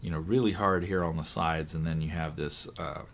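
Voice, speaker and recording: A hoarse man, voice still recovering from illness, chatting casually through a product unboxing, thinking aloud with fillers and corrections. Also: a bass voice, fairly even intonation, moderate pace, a bit bright, slight background noise. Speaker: watch reviewer.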